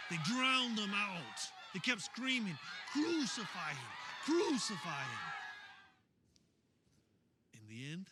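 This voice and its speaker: An actor playing an anguished male eyewitness disciple of Jesus in a radio theater monologue, telling his story in an emotional, halting way. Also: on the high side. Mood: agitated, then grim, subdued. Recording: faint background noise.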